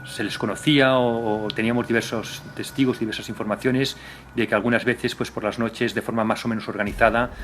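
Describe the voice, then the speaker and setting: A man recalling police work in an interview, halting and circling back to rephrase. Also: medium pitch, steady tone, a bit bright, slight background noise. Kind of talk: interview recollection.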